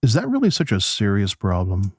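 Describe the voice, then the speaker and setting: An actor playing a polite radio host who gently probes his political guests in a conversational interview style. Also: fairly low pitch, huge pitch swings, brisk, breathy edge, no room sound, clean studio sound, full character voice. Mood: gently skeptical. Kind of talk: pseudo-radio play interview.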